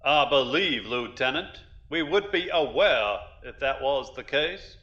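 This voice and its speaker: An actor playing a stern, solemn presiding judge of a military tribunal in a science fiction audio drama. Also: male voice, medium pitch, animated, sharp tone, lo-fi sound. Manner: solemn, formal, deliberate.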